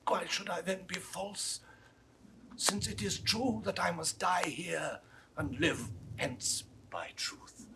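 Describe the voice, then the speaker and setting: A breathy, dying nobleman, declaiming. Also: high-pitched, slow, full character voice. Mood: dying, solemn confession. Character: mortally wounded male French count, remorseful and earnest. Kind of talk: 1960s classical Shakespeare audio drama acting. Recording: noisy vintage 1960s studio recording.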